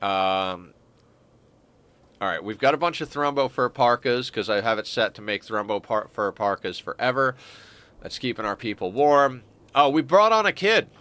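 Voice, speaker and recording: A man's voice: medium pitch, expressive, normal speed, pushed and bright, clean tone. Stuffed-up man musing over a game. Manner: rambling, self-correcting, wry. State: stuffed-up nose. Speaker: streamer. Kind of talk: casual gameplay commentary.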